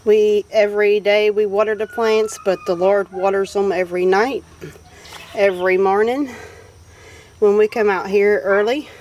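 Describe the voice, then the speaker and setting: A woman's voice: medium pitch, steady tone, normal speed, darkish tone. Southern us lady chatting thru her garden, folksy n rambly, outside w some bird and rooster noise. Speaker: homestead vlogger giving a garden tour. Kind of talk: casual unscripted walk-through narration. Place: outdoors in a homestead garden. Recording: noisy outdoor recording with animal sounds. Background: birds, roosters and farm animals.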